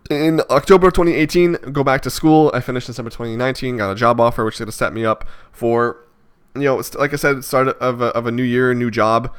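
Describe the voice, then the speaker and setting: Twenty-something guy rambling to camera, lots of ums, mid pitch. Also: steady tone, quick pace, smooth. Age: 20s. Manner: rambling, hesitant, many fillers and restarts. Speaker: vlogger. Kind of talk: reflective casual monologue.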